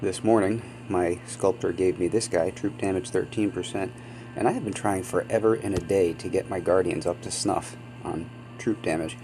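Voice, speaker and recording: A man's voice: sits low, fairly even intonation, moderate pace, mellow, slight background noise, low-quality recording. A man hosting a gaming channel, sniffly from a cold, chatting casually and rambling with many ums and apologies. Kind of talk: casual gameplay commentary.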